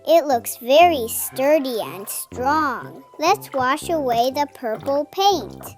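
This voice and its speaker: High-pitched woman narrating for young children. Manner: simple, playful presenting with questions and exclamations. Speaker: narrator of a children's learning video. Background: children's background music.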